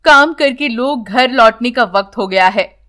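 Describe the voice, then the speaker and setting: Woman playing a kindly, slow-spoken old boatman. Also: a little high, pushed and bright. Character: kindly elderly village boatman, lonely, gentle, welcoming. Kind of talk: children's animated story dialogue.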